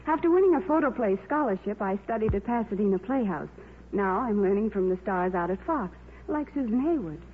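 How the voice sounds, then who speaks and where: Young American starlet, tinny old radio. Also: female voice, medium pitch, animated, fairly quick. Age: young adult. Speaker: starlet guest endorsing a product. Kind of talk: scripted 1950s radio endorsement. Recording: tinny noisy 1950s radio recording.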